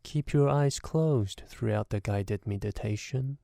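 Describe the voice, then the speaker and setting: Slow, gravelly male meditation guide. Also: fairly low pitch, dark tone, no echo, clean studio sound. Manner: measured, instructional, with deliberate pauses between short phrases.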